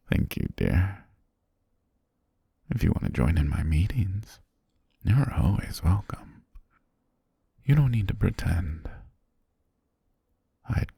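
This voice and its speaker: A man playing a calm, possessive governor soothing his pet in an ASMR roleplay, breathy and half-whispered, slow, gentle and softly commanding, very close to the mic. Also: low voice, dry recording, exaggerated voice. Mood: soothing, coaxing. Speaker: ASMR voice actor. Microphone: very close, dry microphone.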